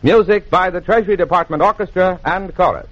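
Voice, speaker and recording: A man's voice: sits high, a little fast, a bit bright, faint music behind. A man announcing and narrating a 1940s radio program with formal, dramatic, scripted delivery. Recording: muffled, noisy 1940s radio transcription.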